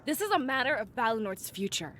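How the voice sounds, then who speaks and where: A woman's voice: fairly high pitch, sing-song, brisk, a bit bright, a little hiss or hum. An actress voicing a resolute, shrewd woman advisor in a fantasy visual novel, speaking firmly and argumentatively. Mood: insistent, heated.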